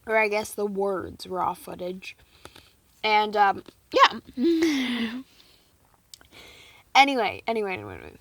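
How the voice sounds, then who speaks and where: A woman's voice: medium pitch, sing-song, slow, creaky, pauses often, a bit bright, no room sound. Teen girl vlogging, chatty n giggly, lots of "like", voice kinda going, dry close sound. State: losing her voice. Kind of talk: casual chat to camera.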